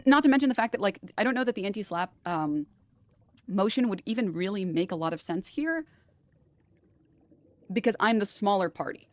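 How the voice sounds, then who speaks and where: A woman's voice: medium pitch, lively intonation, normal speed, pauses often, no room sound. A woman streamer explaining a lawsuit in an exasperated, sarcastic way, played as a clip.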